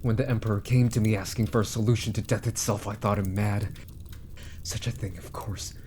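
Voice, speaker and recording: A man's voice: lowish voice, steady tone, natural voice. Man acting an arrogant, scheming villain mage over background noise. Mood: smug, gloating. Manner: haughty, deliberate, trailing into hushed asides. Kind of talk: ASMR roleplay villain monologue.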